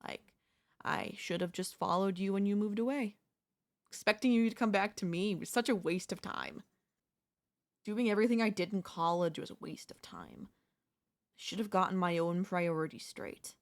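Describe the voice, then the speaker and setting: A woman's voice: mid-range voice, animated, normal speed, natural voice. A young woman playing an obsessive, lovesick yandere neighbor in an intimate, intense audio roleplay. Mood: calm, quietly menacing. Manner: intimate, pleading, emotionally intense delivery. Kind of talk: ASMR audio roleplay acting.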